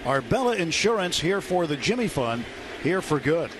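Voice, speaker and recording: A man's voice: on the high side, big pitch swings, moderate pace. An American man doing live baseball play-by-play on radio in clipped descriptive phrases. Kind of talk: live sports commentary. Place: outdoor ballpark broadcast booth. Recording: noisy live ballpark radio broadcast.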